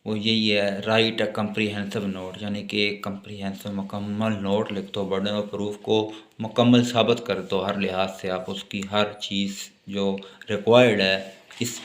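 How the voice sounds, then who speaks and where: A man with a Pakistani accent speaks slowly in a somewhat low voice, as a lecturer would to students. He reads out notes with deliberate care and explains them in plain, repetitive terms. The room around him is clearly echoey. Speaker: law lecturer. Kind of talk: exam-focused lecture, reading English notes and explaining them.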